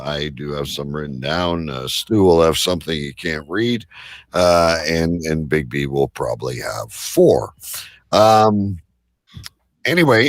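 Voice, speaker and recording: Deep-voiced man hosting, animated and jokey. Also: vocal fry, no echo, clean studio sound. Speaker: host of a live online show. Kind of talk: casual comedic banter.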